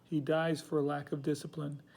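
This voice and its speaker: A man reads scripture aloud as a measured recitation. His voice sits a little high for a man and has a dark, mellow tone. The delivery is solemn and deliberate. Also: level tone, vocal fry. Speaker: scripture reader.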